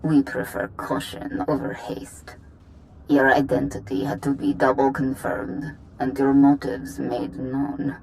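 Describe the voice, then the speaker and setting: An actor playing a cold, implacable droid emissary in a video game, speaking formally and with detachment. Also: male voice, mid-range voice, flat delivery, measured pace, creaky, darkish tone, a little hiss or hum, poor audio. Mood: formal, measured. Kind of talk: dramatic video game acting.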